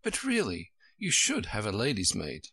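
A man's voice: fairly low pitch, huge pitch swings, moderate pace, husky, dry recording, exaggerated voice. A man voicing a timid, civil and sensible young girl in a storybook. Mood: helpful. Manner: civil, gently practical.

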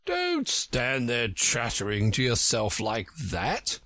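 A man voicing a pompous, testy egg-man from a storybook, with a haughty, growling, drawn-out delivery. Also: mid-range voice, huge pitch swings, sharp tone, no room sound, clean studio sound, exaggerated voice. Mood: impatient, scornful. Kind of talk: storybook character reading.

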